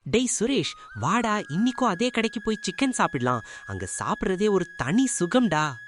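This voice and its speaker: Cartoon: woman as a hungry young farmhand, lively swinging delivery. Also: mid-range voice, normal speed, a little hiss or hum, lightly acted. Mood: eager. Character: hungry eager young village man. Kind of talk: cartoon voice acting.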